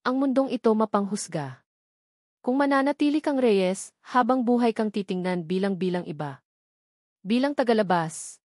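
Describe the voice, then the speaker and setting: A woman acts out a wealthy older mother in an audiobook melodrama. She pleads with halting, remorseful words, in a medium pitch and a dry, close sound. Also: darkish tone, no room sound, close to an everyday voice. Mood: insistent.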